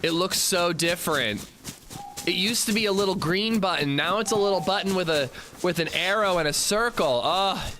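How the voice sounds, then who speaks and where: A man livestreaming and chatting casually with viewers, a bit congested, swinging between upbeat shout-outs and low mumbles. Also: moderate pace, background noise.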